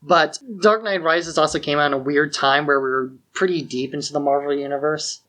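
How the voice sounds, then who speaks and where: A man's voice: a little high, steady tone, brisk, bright, forceful tone. A man co-hosting a podcast, giving opinions in casual, conversational film discussion with "like" sprinkled through his sentences. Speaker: podcast co-host.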